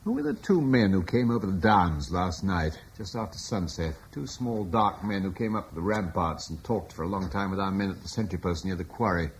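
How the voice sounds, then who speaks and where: A middle-aged male commander in a radio play. He speaks with calm, reassuring authority, and his voice moves up and down freely. A faint hiss sits under the old recording. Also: sits low, fairly quick, few pauses, low-quality recording. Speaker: husband and local guard commander. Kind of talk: radio drama acting.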